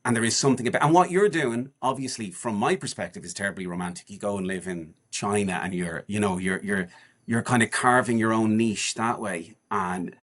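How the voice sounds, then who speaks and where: A man's voice: on the low side, a little fast, a bit bright. A man in his forties, a guest on a podcast, chatting casually in a rambling way with many restarts and fillers. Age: 40s. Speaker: guest, charity walker.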